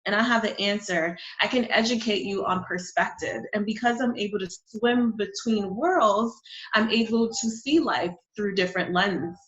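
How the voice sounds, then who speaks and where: A woman's voice: medium pitch, moderate pace, fairly bright tone. A woman from Honduras talks with conviction and emphasis as an interview guest. She is heard in an echoey room on a dull, lo-fi recording. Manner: confident, emphatic, reflective. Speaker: marketing strategist, guest. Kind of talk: interview conversation. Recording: dull, roomy sound.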